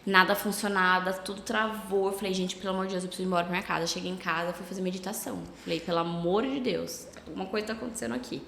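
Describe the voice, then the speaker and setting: A Brazilian woman with a slight cold, a travel agency owner chatting casually as a podcast guest, relaxed and full of fillers. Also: mid-range voice, very fast, vocal fry, hardly any pauses, slight background noise. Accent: Brazilian Portuguese. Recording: echoey room with long reverb.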